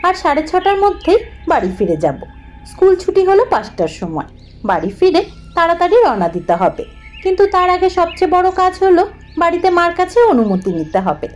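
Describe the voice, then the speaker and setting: Female storyteller for ghost tale, very high lively voice, music underneath. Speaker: story narrator. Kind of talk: first-person scripted ghost story narration. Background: background music.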